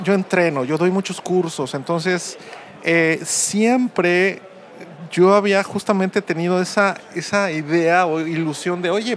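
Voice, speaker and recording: Male interviewer, fairly high voice, stop-start delivery, faint background hum. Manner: halting, many restarts and fillers. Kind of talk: casual tech interview chat.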